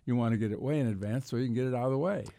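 A man's voice: medium pitch, rapid-fire, gravelly, dark tone, no echo. An older man with an American accent talking sports casually, in a rambling, reflective way. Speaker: sports columnist and radio co-host.

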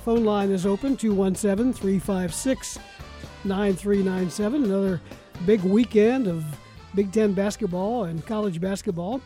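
A man's voice: high voice, normal speed, dark tone, soft background music. A man with an American accent hosting a sports talk radio show. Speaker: radio host.

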